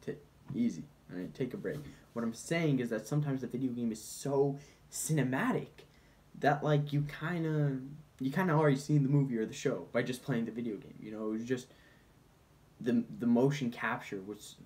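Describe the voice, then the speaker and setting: A man's voice: mid-range voice, normal speed, a little mellow. A man giving casual, comedic film commentary in an informal, conversational way with mock-defensive asides. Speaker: film commentator presenting points. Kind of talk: casual comedic video essay, bantering with an unheard sidekick.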